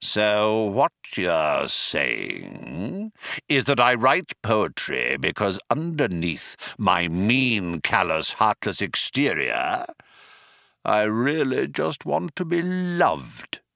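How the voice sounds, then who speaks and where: A man voicing a callous alien captain and would-be poet in a slow, menacing, grating voice for a storybook. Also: on the low side, huge pitch swings, vocal fry, bright, forceful tone, dry recording, clean studio sound, exaggerated voice. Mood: menacing irony. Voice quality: whirring, grating voice.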